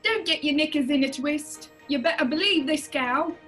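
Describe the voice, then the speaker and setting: A chatty gal, acted by a woman in a radio comedy, cheeky and insistent. Her voice is high, with music behind it. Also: normal speed, a bit bright. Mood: eager, insistent. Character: chatty, cheeky female British eyewitness. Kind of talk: radio drama comedy acting.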